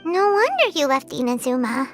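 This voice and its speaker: A woman voicing a tiny, nosy, excitable female fairy companion who talks about herself in the third person. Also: high-pitched, moderate pace. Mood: chirpy. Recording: game soundtrack music behind. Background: game music.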